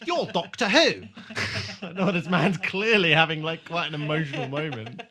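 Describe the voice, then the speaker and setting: Male podcast host, very bright and punchy, loose jokey conversational delivery with swearing. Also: on the high side, lively intonation, moderate pace. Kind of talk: casual chat.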